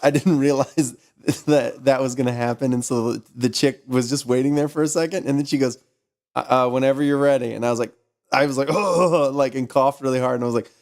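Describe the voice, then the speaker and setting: A man's voice: medium pitch, steady tone, fairly quick, a little mellow. A tired young man with a slightly lost voice, chatting casually on a live art stream and telling a rambling story with lots of "like". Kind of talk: casual chat.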